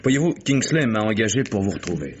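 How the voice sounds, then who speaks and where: Low-voiced, dogged private detective. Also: male voice, big pitch swings, fairly quick, a little hiss or hum. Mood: methodical. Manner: insistent, interrogating, coolly sardonic. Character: dogged hired private detective, probing and insistent. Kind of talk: vintage French radio crime drama acting. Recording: dull lo-fi vintage radio recording.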